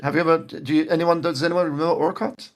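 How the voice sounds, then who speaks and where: A man's voice: a little high, steady tone, fast talker, a crowd in the background. A man from Iran chatting on an online call in a debate, wry, often stumbling and repeating words. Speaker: debate participant.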